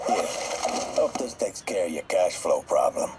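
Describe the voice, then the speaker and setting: A man's voice: sits low, big pitch swings, moderate pace, background noise. An actor playing a cocky, coolly remorseless mob hitman with an American accent, casually menacing in a video game audio log. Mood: businesslike. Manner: casual, matter-of-fact menace.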